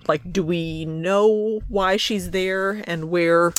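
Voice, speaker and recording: A woman's voice: on the low side, steady tone, slow, no room sound. A woman co-hosting a podcast, casually recapping a comic book in a wry, playful, loosely improvised way. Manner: wry, playful, often laughing. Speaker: podcast co-host.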